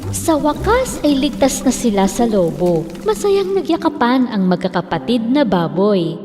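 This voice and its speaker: Isang babaeng nagsasalaysay ng nakasulat na kuwentong pambata, may alingawngaw at mahinang musika sa likod. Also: female voice, fairly high pitch, sing-song, moderate pace, mellow, slight background noise. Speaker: storyteller. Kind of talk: scripted children's fairy tale narration. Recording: long reverb, faint music behind.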